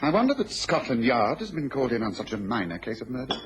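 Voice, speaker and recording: An actor with an English accent playing a self-assured, observant detective in a radio drama, incisive and authoritative. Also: male voice, medium pitch, big pitch swings, fast talker, natural voice. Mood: brisk, absorbed. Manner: incisive, muttering deductions, authoritative. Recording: noisy old radio recording.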